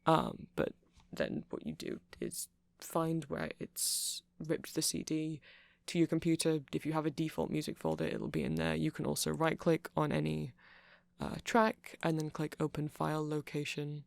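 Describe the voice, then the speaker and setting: A man playing a nerdy, friendly vampire shopkeeper in an ASMR roleplay, soft-spoken and gravelly, rambling hesitantly as he explains tech. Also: on the high side, moderate pace, no room sound. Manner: rambling, hesitant, self-conscious geeky explaining.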